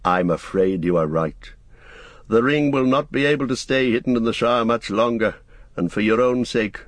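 A man voicing a wise old male wizard in a storybook, stern but fond, with an emphatic, commanding, deliberate manner. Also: on the low side, dry recording.

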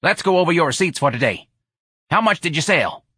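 A man voicing a gruff, blunt, short-tempered hot dog vendor boss in an audiobook. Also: fairly high pitch, expressive, fairly quick, sharp tone, no room sound, studio quality, voice pushed up, full character voice. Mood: impatient.

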